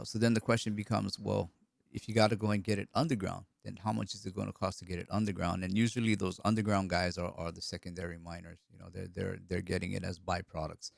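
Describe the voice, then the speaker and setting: A middle-aged man with a cold, from Hawaii, hosts a relaxed live stream. His low voice has a gravelly, croaky edge. The sound is dry and close, and he rambles with frequent restarts. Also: no room sound. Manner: rambling, repeats words. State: hoarse with a cold. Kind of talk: casual live stream chat answering comments.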